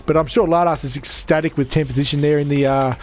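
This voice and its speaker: A man commentating an online racing league, croaky from losing his voice, upbeat and chatty with viewers. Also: a little high, steady tone, fast talker, a little hiss or hum. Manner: upbeat, chatty, reads out chat. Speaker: race commentator. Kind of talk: live sim racing commentary.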